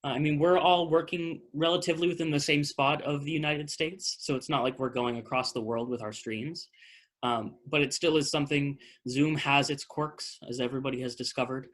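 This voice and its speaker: A man's voice: fairly high pitch, steady tone, fairly quick, a bit bright. A man hosting a post-show theater talkback over a video call, speaking conversationally and thinking aloud as he fields questions. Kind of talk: casual question-and-answer hosting.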